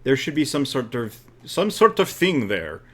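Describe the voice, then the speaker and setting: A man's voice: mid-range voice, sing-song, normal speed, bright, forceful tone. A man co-hosting a casual chat while playing a wrestling booking game, easygoing and thinking aloud.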